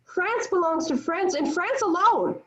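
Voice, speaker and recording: Woman playing proud sharp-tongued young heroine, high-pitched, declamatory, defiant, dramatic table read, muffled recording. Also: lively intonation, measured pace. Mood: firm, righteous. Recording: dull, lo-fi video call audio.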